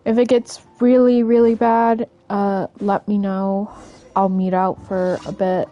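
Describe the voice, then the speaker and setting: A woman livestreaming a video game with casual, low-key commentary. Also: little pitch movement, unhurried, dull audio. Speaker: game streamer. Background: game music.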